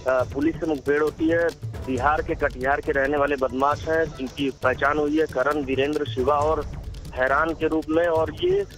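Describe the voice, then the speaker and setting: Male correspondent, somewhat high voice. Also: animated, moderate pace, fairly bright tone, faint music behind. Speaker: news correspondent. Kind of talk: live crime report.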